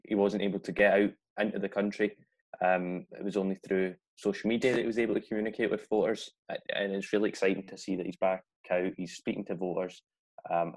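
A man's voice: low voice, a little mellow. A man in a casual political discussion as a podcast panellist.

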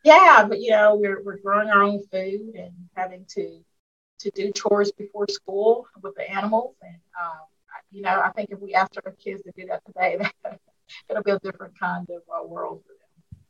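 A woman's voice: medium pitch, normal speed, husky, dull audio. A woman in her fifties from Arkansas, a school superintendent reflecting on her life in a casual interview, with lots of fillers. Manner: warm, reflective storyteller with frequent fillers. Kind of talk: casual interview over a call.

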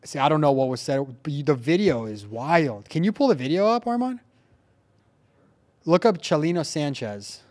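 A man hosting a casual podcast, telling stories in an animated way with lots of filler words like "like" and "dude.". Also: fairly high pitch, moderate pace. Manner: animated storyteller, heavy use of "like" and "dude". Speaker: podcast host.

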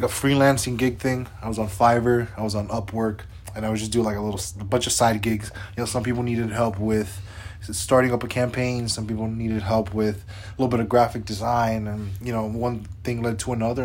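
Upbeat young man co-hosting a podcast. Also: fairly low pitch. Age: 20s. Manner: upbeat, loose and chatty with fillers. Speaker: podcast co-host. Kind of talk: casual podcast chat.

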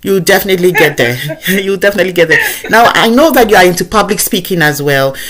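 A woman's voice: fairly low pitch, lively intonation, quick pace, fairly bright tone. A woman hosting an interview podcast, encouraging and affirming her guest. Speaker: podcast host. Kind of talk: conversational interview.

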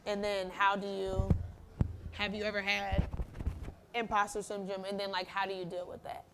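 A woman's voice: mid-range voice, completely flat delivery, normal speed, noisy background. Woman moderating a panel. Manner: asks long, searching questions.

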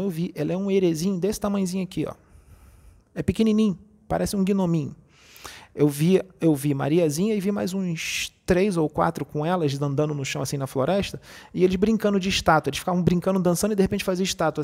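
A man's voice: a little high, gravelly, a little mellow. A man, a spiritist medium, explaining spiritual matters in a casual talk and often checking "entendeu?".

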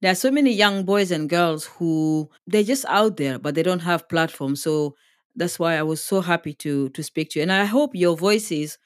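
A woman's voice: on the low side, normal speed, no echo, studio quality. A woman hosting an interview podcast, talking with effusive praise for her guests. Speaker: podcast host.

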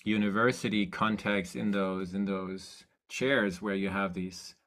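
A man hosting a casual podcast chat, speaking haltingly and restarting his sentences. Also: low-pitched, steady tone, fairly bright tone. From Germany. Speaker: host.

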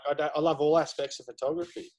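A man's voice: on the high side, fairly even intonation, very fast, darkish tone. A man, chatting casually as a podcast guest, rambling with lots of fillers. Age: 30s. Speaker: guest photographer.

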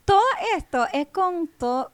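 A woman's voice: high-pitched, expressive, unhurried, dry recording. A woman hosting a conversational podcast interview, rephrasing as she goes. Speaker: podcast host.

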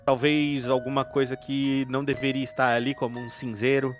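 A man with a Brazilian accent playing a tabletop horror RPG, improvising his character's actions in a joking, halting way. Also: medium pitch, flat delivery. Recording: music playing behind the voice.

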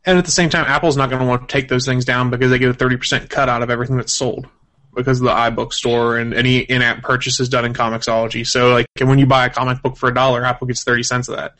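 A man's voice: medium pitch, level tone, quick pace, fairly bright tone. A man hosting a casual podcast chat, explaining things at length, teasing his co-host, and reading out chat comments.

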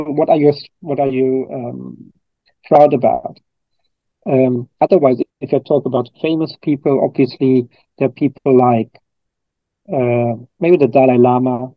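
A man's voice: medium pitch, dry recording. Male coach, interviewee, rambling and reflective, muffled dry recording. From Germany. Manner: thoughtful, rambling with frequent restarts and fillers. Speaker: coach and trainer. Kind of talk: interview answers.

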